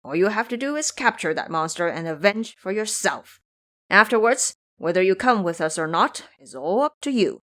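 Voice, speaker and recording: Lady doing pig disciple little brother guy, coaxing n goading, lively audio drama voice. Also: mid-range voice, moderate pace, close to an everyday voice. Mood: placating. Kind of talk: audio drama character acting.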